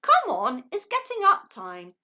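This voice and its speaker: A woman doing a squeaky excitable baby owl. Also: unhurried, dull audio, heavily acted. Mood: eager. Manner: eager, high and piping. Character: excitable little male barn owl chick.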